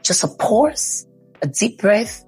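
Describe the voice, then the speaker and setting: A woman's voice: medium pitch, very animated, slow, darkish tone, husky, faint music behind, low-quality recording. A woman teaching public speaking, who talks with emphasis and repeats her key points. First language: English. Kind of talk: instructional talk.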